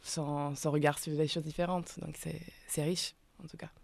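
A woman's voice: sits low, little pitch movement, moderate pace, creaky, a little mellow, no echo. A woman speaking as a guest in a radio interview, hesitant with many fillers. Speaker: interview guest, collective member.

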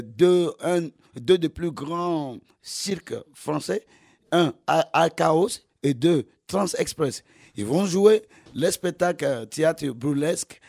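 A man from Nigeria whose first language is English, speaking French as a festival organizer in an interview, halting with many fillers and restarts. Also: on the high side, gravelly, a little mellow. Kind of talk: radio interview.

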